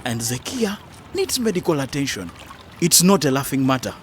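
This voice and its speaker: A man's voice: mid-range voice, big pitch swings, normal speed, a little hiss or hum, natural voice. A man playing an earnest, indignant councillor in an educational radio drama, lecturing a friend in a preachy, emphatic way. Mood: earnest, stern.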